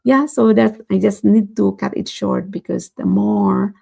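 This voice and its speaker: Hoarse woman with a gravelly voice. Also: medium pitch, fairly even intonation, measured pace, warm tone. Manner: earnest, repeats "right?" and "you know". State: losing her voice, hoarse. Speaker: vlogger hosting a daily challenge. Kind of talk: motivational talk to viewers.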